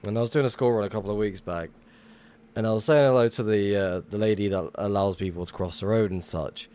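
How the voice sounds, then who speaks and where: A man's voice: fairly low pitch, fast talker, warm tone, clean tone. A young man chatting casually while livestreaming a game, rambling and swearing now and then as he greets viewers. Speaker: livestream gamer. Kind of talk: casual live-stream chat while gaming.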